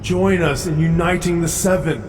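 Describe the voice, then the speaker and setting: Guy doing a commanding sea king, grand speechy hero, voice on the higher side, loud music. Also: fairly even intonation, moderate pace, close to an everyday voice. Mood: stern, commanding. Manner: grand, declamatory. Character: noble, commanding undersea warrior king. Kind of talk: dramatic motion comic voice acting.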